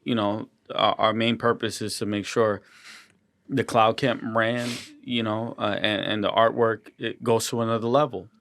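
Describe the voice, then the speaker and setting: A man's voice: fairly low pitch, flat delivery, normal speed, vocal fry, fairly bright tone, no room sound, studio quality. A young man, a guest artist, talking earnestly in a casual interview, often restarting and repeating words. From Colombian and Ecuadorian heritage.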